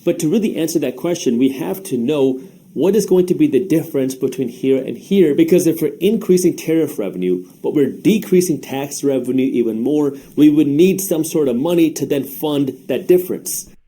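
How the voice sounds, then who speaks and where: Male finance presenter, Punjabi first language, very dark warm timbre, scripted and polished. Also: fairly high pitch, animated, moderate pace. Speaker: finance educator. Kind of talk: scripted explainer.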